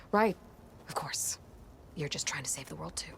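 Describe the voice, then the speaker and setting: A woman's voice: low-pitched, measured pace, gravelly, in a whisper. A woman playing a determined, sardonic young female hero in a video game, speaking in a dry, defiant manner. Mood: guarded, bitter. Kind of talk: video game cutscene acting.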